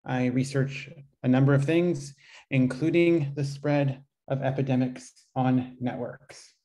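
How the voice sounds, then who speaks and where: A man with a medium-pitched voice introduces himself informally over a video call. He sounds like a professor giving a short summary of himself. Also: fairly even intonation, a little slow, warm tone. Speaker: mathematics professor, reader. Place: indoor room on video call.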